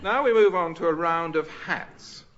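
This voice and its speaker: A man's voice: on the high side, normal speed, fairly bright tone. A middle-aged British man chairing a radio panel game, chatting and bantering with the contestants. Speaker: quiz show chairman. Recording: dull old radio recording.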